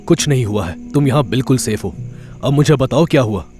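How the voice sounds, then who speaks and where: A man's voice: medium pitch, expressive, brisk, a little mellow, soft background music, natural voice. A man playing a powerful, protective hero in an audio horror drama, speaking earnestly and firmly. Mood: concerned, steady. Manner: earnest, questioning, firm. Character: concerned, commanding powerful man, protective and intense.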